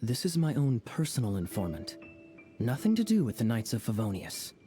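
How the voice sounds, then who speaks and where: A sly, teasing knight captain, voiced by a man in a video game. His voice is mellow and warm, with a playful lilt that rises and falls freely. Soft game music hums behind him. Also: mid-range voice, very animated, moderate pace, natural voice.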